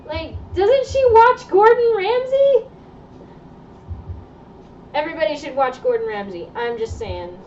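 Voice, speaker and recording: A young woman chatting casually on a live stream while sewing, opinionated and quick to laugh. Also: extremely high pitch, lively intonation, darkish tone, recorded from a distance, muffled recording, a little hiss or hum. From Argentine heritage. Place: indoor sewing workroom.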